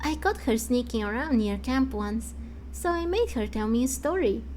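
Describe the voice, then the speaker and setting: A woman's voice: a little high, expressive, normal speed, a little mellow, slight background noise, lightly acted, low-quality recording. A woman voicing a chatty, self-assured little girl from a game, telling stories in a confiding, matter-of-fact way. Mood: confiding, amused. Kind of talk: fan voice-over of game dialogue.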